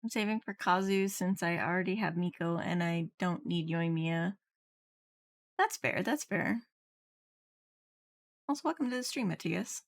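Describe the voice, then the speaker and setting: A woman's voice: lowish voice, a little slow, long pauses. A woman game streamer chatting casually on a livestream, musing aloud in loose, half-finished thoughts. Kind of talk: casual livestream chat while gaming. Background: game music.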